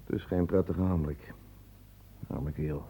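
An actor playing a grim, impatient hospital doctor in a Dutch radio drama, speaking tersely and wearily. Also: male voice, sits low, fairly even intonation, unhurried, mellow, husky, exaggerated voice, poor audio. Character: grim, impatient male hospital doctor reporting on a dying patient.

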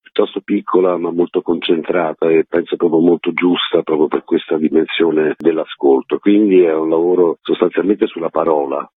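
Low husky man on a phone line. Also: steady tone, normal speed, no echo. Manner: reflective, wordy, with frequent qualifiers. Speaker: stage actor, interview guest. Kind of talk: explanatory radio interview answers. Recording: tinny phone line into radio.

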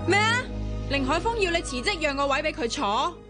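Feisty Hong Kong Cantonese amateur sleuth for radio drama, blunt, suspicious and exclamatory. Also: female voice, high-pitched, big pitch swings, a little fast, over loud music. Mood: indignant. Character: feisty, suspicious, impulsive young woman turned amateur sleuth.

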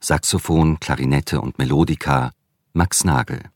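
A man reading scripted radio credits as an announcer, formal and measured. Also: a bass voice, steady tone, no echo, clean studio sound.

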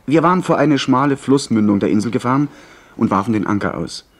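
An actor playing a young gentleman adventurer in a radio play, narrating a sea voyage with literate, clearly phrased storytelling. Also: mid-range voice, lively intonation, fast talker. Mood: measured storytelling.

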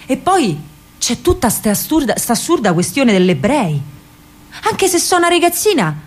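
An actress playing a spirited, indignant working-class girl with a Roman accent, telling her memories in an expressive, colloquial theatrical monologue. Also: on the high side. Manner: colloquial, emphatic, expressive storytelling. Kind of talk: theatrical first-person monologue in dialect.

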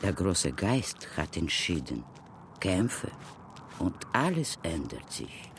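Solemn Apache mentor, low voice, soothing, short earnest phrases, very slow, radio play. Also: male voice, very animated, vocal fry, noisy background, full character voice. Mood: consoling, urging. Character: solemn, protective Apache mentor consoling a small boy. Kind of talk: radio drama acting.

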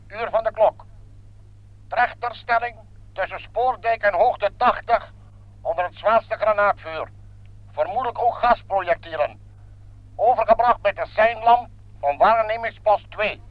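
A man's voice: high voice, unhurried, long pauses, dry recording. An actor playing a military man giving formal reports over a field telephone in a radio play. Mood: formal, urgent. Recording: dull, dry old radio recording.

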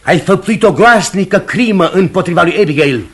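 A man's voice: high-pitched, lively intonation, fairly bright tone. A man playing an earnest, troubled pastor in a Romanian radio play, solemn in manner. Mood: grave, questioning. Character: earnest, troubled pastor questioning and pleading for order. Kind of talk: Romanian radio theatre acting.

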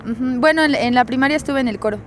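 A woman's voice: sits high, quick pace, a little hiss or hum. A young Mexican woman, a singer guest, chatting casually and bluntly in a radio interview, laughing often. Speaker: musician guest.

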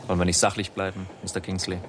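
An actor playing a composed royal astronomer in a 1960s German radio play, speaking in a formal, matter-of-fact way. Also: male voice, low voice, completely flat delivery, fast talker, lightly acted. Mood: composed, insistent. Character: composed, precise royal astronomer, a male scientist. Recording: clear background vehicle noise. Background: vehicle interior noise on a drive.

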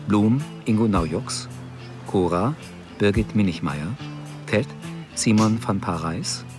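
A man reading scripted radio drama credits as an announcer, formal and measured. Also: fairly low pitch. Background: music.

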